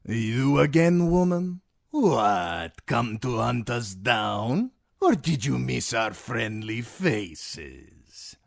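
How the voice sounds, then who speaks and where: A man's voice: medium pitch, sing-song, drawn-out words, vocal fry, no echo, clean studio sound, voice pushed up, full character voice. A man doing a sneering sellsword with a Dornish drawl. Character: sly male sellsword with a drawl, mocking.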